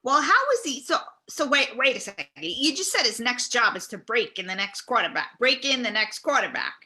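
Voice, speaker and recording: A woman plays a combative male sports talk radio host. The voice is high and piercingly bright, exasperated, and keeps hammering the same questions with scornful impatience. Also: very animated, brisk. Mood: incredulous. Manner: badgering, repeating questions insistently.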